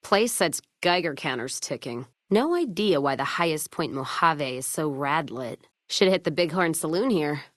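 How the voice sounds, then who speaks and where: Game companion role: tough American wasteland woman, low register, dry sound, gruff and sardonic, foul-mouthed drinker with folksy slang. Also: expressive, moderate pace, few pauses, dry recording. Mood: wry, wary. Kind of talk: video game companion voice lines.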